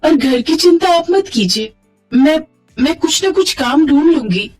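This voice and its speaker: A woman's voice: sits high, animated, unhurried, a little mellow, soft background music, pitched above the performer's own voice. A woman voicing a devoted, reassuring wife and mother in a moral storybook tale. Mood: consoling.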